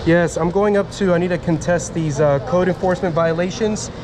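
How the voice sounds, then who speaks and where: A man from Miami filming a casual self-made vlog on his phone, halting and chatty, full of fillers and restarts, talking to camera and to officials. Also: sits high, background noise. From Miami, USA. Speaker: vlogger filming a first amendment audit. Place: outdoors then in a public building lobby. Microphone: handheld phone camera.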